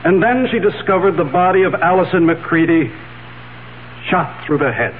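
An actor playing a solemn accuser addressing a court in a radio drama, formal, measured and emphatic. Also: male voice, sits high, close to an everyday voice. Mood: grave, accusing. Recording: muffled, hissy 1970s radio recording with hum.

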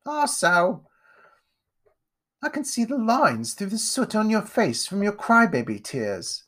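Man voicing a haughty, high-pitched rich little boy. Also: big pitch swings, takes it slowly, a bit bright, heavily acted. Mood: taunting. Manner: sneering, contemptuous.

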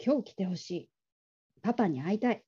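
A woman's voice: medium pitch, expressive, brisk, warm tone, breathy edge. A woman playing a young adult daughter who casually teases and wheedles her father in a radio drama. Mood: pleading. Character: coaxing, teasing grown daughter pleading with her father. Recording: dull lo-fi audio.

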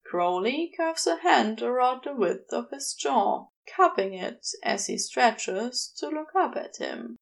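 A woman narrating a scripted story as an audiobook reader, in a measured delivery. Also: on the high side, lively intonation, gravelly. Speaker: audiobook reader of fan fiction.

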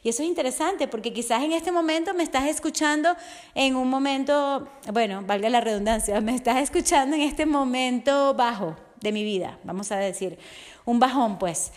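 Slightly hoarse woman, earnest coach. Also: high voice, moderate pace. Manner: earnest, heartfelt, often checks in with the listener. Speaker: resilience and fitness coach. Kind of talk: motivational podcast monologue.

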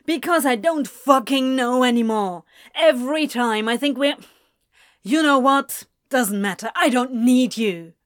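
A woman voicing a volatile young man who taunts and shouts. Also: high-pitched, unhurried, no echo, studio quality. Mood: shouting. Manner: taunting and loud.

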